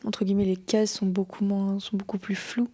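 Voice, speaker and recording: A woman's voice: medium pitch, little pitch movement, normal speed, warm tone. A woman in her twenties in a casual chat, hedging her views with many fillers. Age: 20s.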